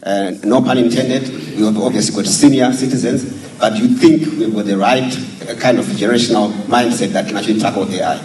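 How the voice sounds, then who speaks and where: A man's voice: medium pitch, a little mellow. A man in the audience asking the speaker a question, hesitant and full of fillers. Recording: very echoey hall, far from mic.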